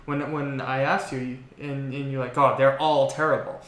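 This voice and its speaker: A man hosting a casual gaming podcast discussion, working his way haltingly through his questions. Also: medium pitch, little pitch movement, fairly bright tone. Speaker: podcast host. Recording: roomy, echoey podcast room.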